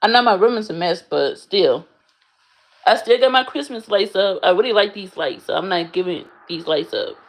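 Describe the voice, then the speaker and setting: A woman's voice: mid-range voice, normal speed. A young woman from the United States in her bedroom, chatting casually and bluntly with her livestream viewers. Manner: blunt, frank, swears freely. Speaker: livestreamer answering chat.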